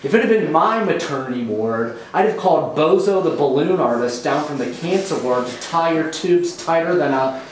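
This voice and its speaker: A man's voice: fairly high pitch, animated, normal speed, natural voice. A man playing a crude, sneering middle-aged fat passenger who talks bluntly, in a very echoey room. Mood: venomous. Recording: very echoey room, faint hiss.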